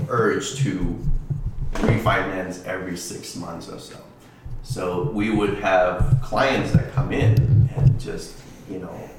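A man who grew up in Taiwan, a real estate attorney giving halting answers with many ums in a casual interview. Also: low voice, creaky, background noise. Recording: distant echoey mic with music behind.

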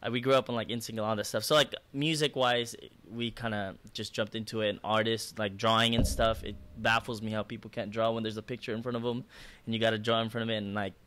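A man's voice: on the low side, level tone, quick pace, a bit bright. A man who grew up in the Philippines and speaks Bisaya as his first language, a worship leader talking earnestly and reflectively in a casual conversation.

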